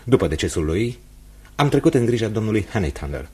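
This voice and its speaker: Earnest young male pupil: very low, husky, formal yet impassioned, lively intonation. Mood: bitter, earnest. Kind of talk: radio drama acting.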